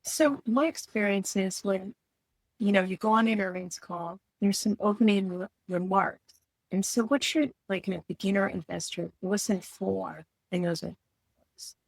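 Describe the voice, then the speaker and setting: A woman's voice: mid-range voice, a little slow, creaky, no echo, rough recording. Woman interviewer in a dry recording. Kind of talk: conversational interview questions.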